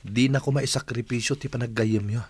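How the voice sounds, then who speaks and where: A man's voice: medium pitch, fairly even intonation, brisk, a little mellow, slight background noise, lightly acted. A man playing a protective friend, consoling and concerned, in an Ilocano radio drama. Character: concerned, protective male friend of a crying girl.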